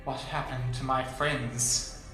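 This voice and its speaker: A man's voice: mid-range voice, level tone, slow, natural voice. A man playing a defiant, captive professor in an audio drama. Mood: worried, wary. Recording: cavernous echo with music behind.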